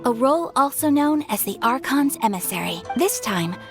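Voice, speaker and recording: A woman's voice: sits high, animated, moderate pace, music in the background. An actress playing a refined, courteous young noblewoman with a formal, poised, explanatory manner in a video game. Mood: composed, explanatory.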